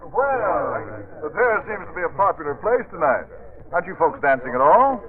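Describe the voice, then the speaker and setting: An actor playing a jovial, teasing, devoted husband with a hearty manner in an old radio drama. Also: male voice, fairly high pitch, sing-song, moderate pace. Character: jovial, boastful, devoted husband and party host. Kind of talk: 1940s radio acting. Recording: phone-like old radio recording.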